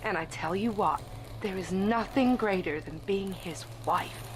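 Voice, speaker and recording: An actress playing a besotted young bride drawn into a cult, delivering an intense, breathy diary monologue in an audio drama. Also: female voice, medium pitch, unhurried, slight background noise, natural voice, poor audio. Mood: rapturous.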